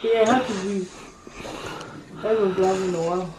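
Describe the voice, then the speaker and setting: A man's voice: sits high, fairly even intonation, fairly quick, a little mellow. A young man playing a video game in a bedroom, swearing and distracted as he talks. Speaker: gamer cousin. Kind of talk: casual chat while gaming. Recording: echoey room, faint background noise.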